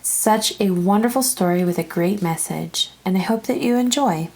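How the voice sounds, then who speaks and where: A woman's voice: medium pitch, normal speed, vocal fry, a little mellow, slightly rough, low-quality recording. A woman reading a children's story aloud as narrator, expressively, drawing out some phrases.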